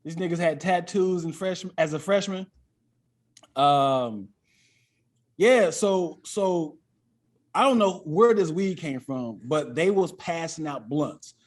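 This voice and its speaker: High-voiced male comedian telling stories casually. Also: slow pace. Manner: animated, acts out quoted dialogue. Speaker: comedian, podcast guest. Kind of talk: casual comic storytelling.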